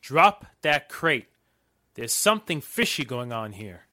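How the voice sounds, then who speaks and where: Actor as forceful, slow-talking radio hero. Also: male voice, medium pitch, sing-song. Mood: suspicious. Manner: terse, deliberate orders. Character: sharp, commanding male hero in a radio thriller. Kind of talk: 1940s radio drama acting.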